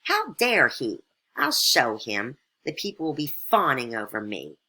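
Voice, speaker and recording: A woman's voice: a bass voice, huge pitch swings, unhurried, pushed and bright, heavily acted. A woman voicing a vain, scheming, condescending duchess in a storybook tale. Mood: indignant. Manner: haughty, condescending, self-absorbed.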